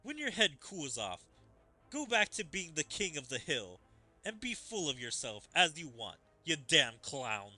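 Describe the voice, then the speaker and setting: A man voicing a brash, hot-headed game fighter who taunts in a cocky shout. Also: on the high side, very animated, a little slow, full character voice. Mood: scornful. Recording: game music behind the voice.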